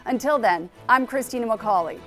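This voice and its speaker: A woman's voice: on the high side, animated, normal speed, a bit bright, soft background music. A woman hosting a local news show, reading scripted narration in an upbeat, chatty way.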